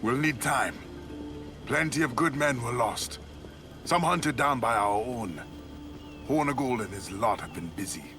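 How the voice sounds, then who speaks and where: An actor playing a hearty, plain-spoken pirate shipmate in a video game, expressive and loyal in manner. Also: male voice, mid-range voice, a little slow, long pauses, over music, close to an everyday voice. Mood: rueful. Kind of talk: video game cutscene acting.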